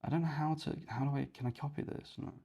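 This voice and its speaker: A man's voice: fairly high pitch, steady tone, fast talker, gravelly, mellow, slightly rough. A young man from Yorkshire, chatting casually and thinking aloud while hosting a coding livestream. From Yorkshire, England. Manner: rambling, thinking aloud while clicking around.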